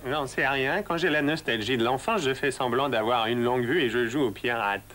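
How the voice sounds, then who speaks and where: An actor voicing a wry, sceptical cartoon animal in a French dub, dry and deadpan. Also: male voice, mid-range voice, brisk, close to an everyday voice.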